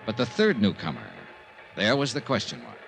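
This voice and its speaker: An actor playing a hard-boiled, dryly wisecracking investigator in an old-time radio mystery. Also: male voice, fairly low pitch, wildly swooping pitch, normal speed, a bit bright, heavily acted, poor audio. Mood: wry, brooding. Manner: dry, wisecracking. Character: wry, hard-boiled male investigator narrating his case. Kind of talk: 1950s radio detective acting and narration.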